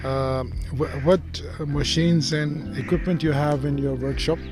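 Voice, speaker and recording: A man's voice: a little high, fairly even intonation, unhurried. A man hosting an interview, who speaks hesitantly with many fillers. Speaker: host. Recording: music playing underneath.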